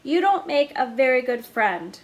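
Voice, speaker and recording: A woman's voice: high-pitched, sing-song, unhurried. A woman voicing a young boy with hurt feelings, talking in an earnest, childlike way. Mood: firm.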